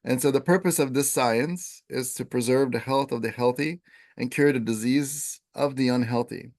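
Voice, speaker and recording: A man who teaches Ayurveda, explaining in a relaxed, conversational way with plenty of fillers. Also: medium pitch, moderate pace. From Italian first language. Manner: relaxed, filler-heavy, chants Sanskrit verses.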